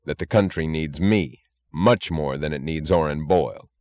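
A man voicing a calm, blunt industrialist who speaks in a cold, matter-of-fact, logical way. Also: extremely low pitch, normal speed, no room sound, dull audio. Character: calm, blunt, defiant male steel industrialist, coldly logical.

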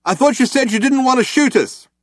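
Male narrator voicing an exasperated alien traveller, high and shouty. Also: expressive, a little fast, no room sound, studio quality.

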